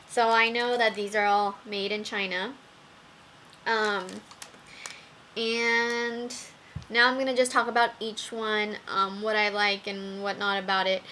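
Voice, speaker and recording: A young woman reviewing makeup brushes in a casual vlog, talking slowly with many ums while her voice wears out. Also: fairly high pitch, pushed and bright, a little hiss or hum. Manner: slow, with many ums and fillers. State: losing her voice. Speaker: beauty vlogger.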